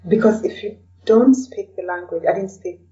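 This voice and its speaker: A woman's voice: mid-range voice, moderate pace, warm tone. A middle-aged woman with a Kenyan accent answering interview questions in a reflective, hesitant conversational way, often adding "you know". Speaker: podcast guest, blogger and podcaster. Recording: distant mic, dull lo-fi audio.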